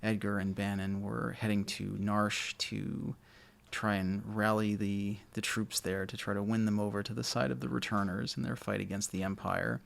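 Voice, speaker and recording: A man with a naturally low voice, casually commentating a video game he loves, a little mumbly and self-deprecating. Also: little pitch movement, normal speed, vocal fry. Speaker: let's play host.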